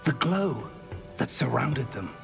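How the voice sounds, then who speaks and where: An actor playing an earnest, scholarly wizard professor in a video game, grave and articulate. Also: male voice, medium pitch, lively intonation, takes it slowly, vocal fry, over music, exaggerated voice. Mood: awed explaining. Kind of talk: dramatic video game acting.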